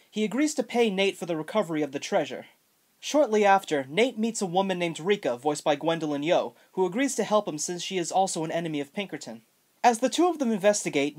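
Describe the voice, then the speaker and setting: A woman's voice: mid-range voice, a little mellow. A woman reading a scripted video game review as narration.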